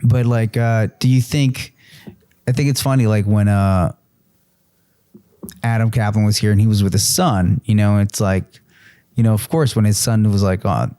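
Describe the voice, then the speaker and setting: A man's voice: fairly low pitch, fairly even intonation, moderate pace, long pauses, dark tone, smooth, no echo, studio quality. A man in his early forties co-hosting a casual podcast chat, rambling with many restarts and fillers. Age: 40s. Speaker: podcast co-host.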